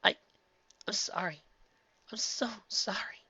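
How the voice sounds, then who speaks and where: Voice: mid-range voice, fairly quick, pushed and bright, no echo, lo-fi sound, pitched above the performer's own voice. A reader voicing Twilight, a young female pony, anxious and resolute, who sobs, pleads and screams. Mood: fearful. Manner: tearful, pleading, then screaming.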